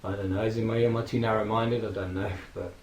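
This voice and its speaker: A man in his forties, hoarse from a throat infection, casually rambling and reminiscing with frequent fillers and laughs. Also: on the low side, little pitch movement, quick pace, a little mellow, echoey room, slight background noise. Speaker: vlogger. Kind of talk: casual personal tribute monologue.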